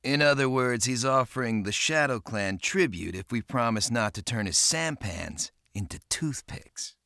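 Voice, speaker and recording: An actor playing a vengeful young navy lieutenant turned pirate, cocky and brooding, in a hard-boiled, wry video game style. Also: male voice, mid-range voice, animated, normal speed, gravelly, sharp tone. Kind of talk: video game cutscene narration and dialogue. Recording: music under the voice.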